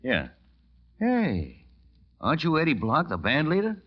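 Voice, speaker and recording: An actor playing a gruff, skeptical New Orleans police sergeant in a 1940s radio drama, with clipped, colloquial delivery. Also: male voice, fairly high pitch, wildly swooping pitch, unhurried, full character voice. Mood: businesslike, then surprised. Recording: muffled noisy old-time radio recording with clicks.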